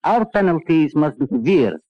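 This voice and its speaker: Casting: formal, unyielding foreign official for vintage radio drama; highish voice, very expressive pitch. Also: male voice, no room sound. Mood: formal, insistent. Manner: formal, deliberate, with slightly foreign phrasing and hesitant uhs. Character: stern, courteous foreign male Balkan official, unyielding about swift justice. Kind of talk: 1940s radio drama acting. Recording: dull vintage 1940s radio recording.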